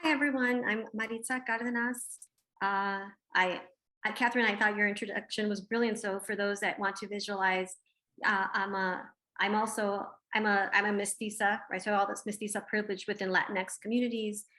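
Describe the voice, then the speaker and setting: A woman speaks with a bright, crisp tone as she introduces her scholarly work over a video call. Her delivery is measured and academic. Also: mid-range voice, level tone. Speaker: panelist, scholar. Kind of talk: panel self-introduction.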